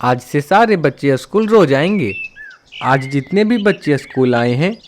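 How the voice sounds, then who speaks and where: A man's voice: a little high, animated, unhurried, a little hiss or hum. A man voicing a crow school principal in a cartoon, formal and instructive as he addresses pupils. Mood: instructive, firm. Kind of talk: Hindi cartoon voice acting.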